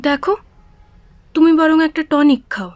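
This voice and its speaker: An actress playing an anxious, devoted wife in a radio drama, pleading and emotional. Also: female voice, sits high, a little slow, a little mellow, no echo. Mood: coaxing, concerned.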